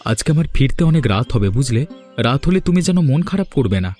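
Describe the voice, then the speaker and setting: A man's voice: medium pitch, sing-song, fast, dark tone, soft background music, lightly acted. A man voicing a caring young husband in a cartoon story, speaking in a reassuring way. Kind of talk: cartoon voice acting.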